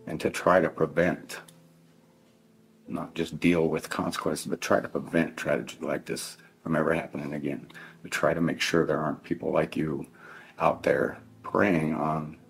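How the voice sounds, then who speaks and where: A middle-aged man gives a victim impact statement as a bereaved father, speaking in a deliberate, stern, emphatic way with heavy pauses. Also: very deep voice, gravelly. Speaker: bereaved father addressing his child's killer. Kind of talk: victim impact statement addressed to one person.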